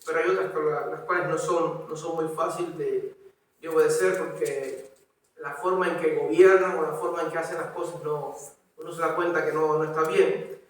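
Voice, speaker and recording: A man's voice: on the high side, fairly even intonation, moderate pace. A man with a Cuban accent preaching an expository sermon, often repeating words as he rephrases. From Cuba. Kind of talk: expository sermon with scripture reading. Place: church hall. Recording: echoey room, distant microphone.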